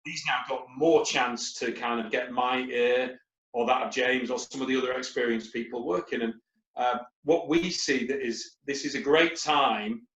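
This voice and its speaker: A man's voice: mid-range voice, echoey room, dull audio. A man, a recruitment company leader, talking on a webinar panel in a rambling, anecdotal way with lots of fillers. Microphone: video call.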